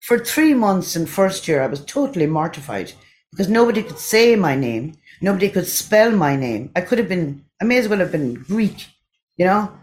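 An Irish woman with a low, deep-set voice reads her poems aloud. She recites in an unhurried, measured way and talks between pieces in a relaxed, chatty tone. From Ireland. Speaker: poet. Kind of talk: poetry reading with chatty asides.

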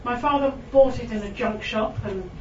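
A Welsh woman with a fairly high voice talks to a room of listeners, self-mocking and full of ums. She reads her poems in a slow, lingering way. The recording is distant, echoey and muffled, with clear background noise. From Wales. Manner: chatty and self-mocking, with frequent ums and laughs; slow, lingering delivery when reading verse. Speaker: poet giving a reading. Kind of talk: poetry reading with chatty asides. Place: room with a live audience.

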